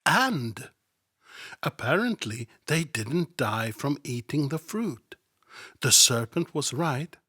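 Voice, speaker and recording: A man's voice: mid-range voice, expressive, takes it slowly, bright, forceful tone, husky, no echo, clean studio sound. A man hosting a podcast who reads scripture aloud and comments on it in a sarcastic, irreverent and profane way. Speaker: podcast host.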